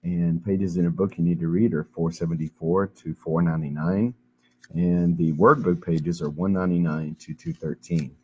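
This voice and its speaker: A man teaching a chemistry lesson while hoarse and losing his voice, explaining each step patiently with frequent ums. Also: low-pitched, fairly even intonation, moderate pace. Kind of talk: recorded classroom lecture.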